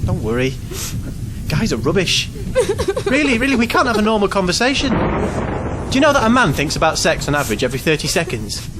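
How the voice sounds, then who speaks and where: A man's voice: high voice, very animated, brisk. Guy playing nervy british everyman in an audio drama, voice all over the place, noisy background. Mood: wry, awkward. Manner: rambling, nervous, wry. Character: awkward, self-deprecating, bewildered ordinary man. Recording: clear background noise, outdoor vehicle ambience.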